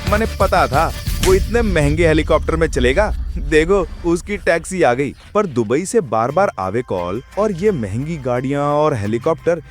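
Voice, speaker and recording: A man with a Haryanvi accent tells a scripted story with dramatic, swinging intonation. His voice is a little high, and music is clearly present behind him. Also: animated, moderate pace, hardly any pauses. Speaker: narrator.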